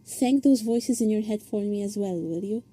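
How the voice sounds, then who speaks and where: A woman's voice: medium pitch, moderate pace, loud music behind the voice. A woman reading an earnest, reflective monologue she wrote as a listener submission. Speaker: first-time listener submission.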